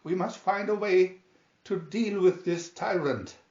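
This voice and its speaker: A man reading the part of an educated, cunning, haughty young gentleman hero in a storybook, in a measured, declamatory way. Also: high voice, pitched above the performer's own voice. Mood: resolute, scheming. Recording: roomy, dull home recording.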